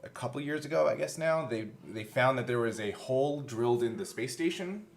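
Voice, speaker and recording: A man talking casually as a game master looking back on the show he made, halting a little as he thinks aloud. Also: medium pitch, expressive, vocal fry. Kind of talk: casual behind-the-scenes recollection.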